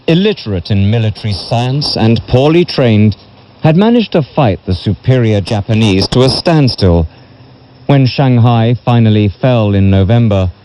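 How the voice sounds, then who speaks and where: A man narrates serious history in a measured, solemn manner. His voice is mellow and relaxed at a middling pitch. The sound is dull and lo-fi, like a shortwave radio broadcast. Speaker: audiobook narrator. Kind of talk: scripted literary history narration.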